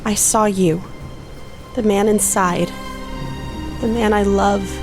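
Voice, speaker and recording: An anguished woman in love, played by an actress, speaking slowly and deliberately in halting, heartfelt phrases. It is dramatic audio drama delivery with music clearly underneath. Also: mid-range voice, steady tone. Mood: pleading, heartfelt.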